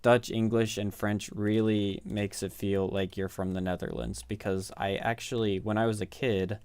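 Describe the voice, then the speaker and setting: A man's voice: fairly low pitch, level tone, normal speed, darkish tone, clear, resonant tone, dry recording. A man in his thirties with a Midwestern American accent, a game streamer rambling casually and self-deprecatingly with his viewers. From Midwest United States. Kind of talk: casual chat with live viewers while gaming.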